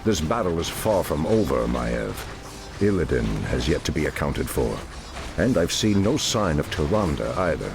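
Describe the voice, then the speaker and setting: An actor playing a solemn, noble night elf druid leader in a fantasy video game, grave, formal and full of authority. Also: male voice, extremely low pitch, very animated, moderate pace, gravelly, music in the background, exaggerated voice. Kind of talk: dramatic video game cutscene acting.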